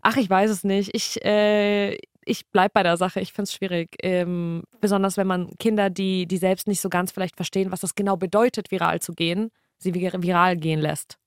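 A woman's voice: mid-range voice, fairly even intonation, normal speed, few pauses, smooth, dry recording, studio quality. A young woman whose first language is Russian, chatting on a podcast in a thoughtful, hesitant way with many fillers and restarts. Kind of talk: casual podcast chat.

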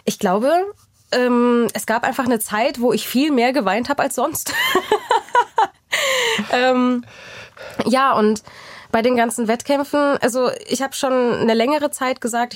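A woman's voice: a little high, dry recording. A young adult woman speaking as an interview guest, reflective and hesitant, often restarting her sentences. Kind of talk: candid personal conversation.

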